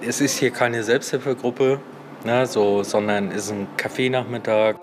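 A man's voice: mid-range voice, steady tone, normal speed. A man, giving informal interview answers as a social worker. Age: 40s. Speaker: social worker and café organiser. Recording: clear ambient background noise.

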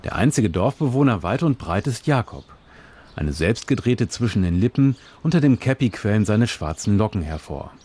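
A male radio reporter with a fairly low voice reads a scripted reportage. His delivery is measured and descriptive, like careful storytelling. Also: big pitch swings, a little mellow, breathy edge.

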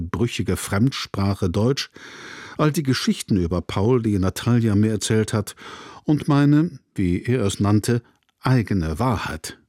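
A man's voice: mid-range voice, a little mellow, dry recording, clean studio sound. A man reading a first-person novel passage in a grave, introspective way. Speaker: reader.

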